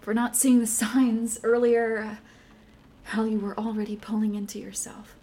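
A woman's voice: a little high, little pitch movement, moderate pace, warm tone, natural voice. A woman playing an earnest girlfriend with a temper. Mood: soft apologetic. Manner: emotional, earnest, addresses listener directly. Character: young adult girlfriend with a temper. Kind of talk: listener-directed audio roleplay.

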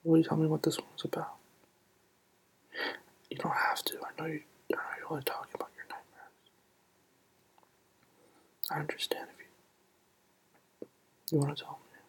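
A roleplay performer in a soft, soothing ASMR whisper, playing a comforting partner and repeating gentle reassurances. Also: mid-range voice, completely flat delivery, normal speed, pauses often, low-quality recording. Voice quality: breathy whisper.

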